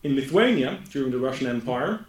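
A Norwegian-accented man delivers an academic talk at a middle pitch, measured and deliberate, sometimes stopping to fix a word. His voice rings around an echoey room. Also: lively intonation. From Norway. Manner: slow, careful delivery with frequent self-corrections. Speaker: academic speaker. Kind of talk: conference presentation.

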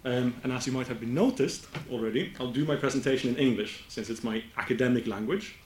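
Norwegian-accented man lecturing in an echoey room. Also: mid-range voice. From Norway. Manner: slow, careful delivery with frequent self-corrections. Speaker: academic speaker. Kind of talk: conference presentation.

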